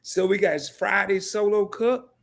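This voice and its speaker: A man hosting a live-streamed interview in a casual, animated way, laughing often and using relaxed slang. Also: sits high, measured pace, gravelly.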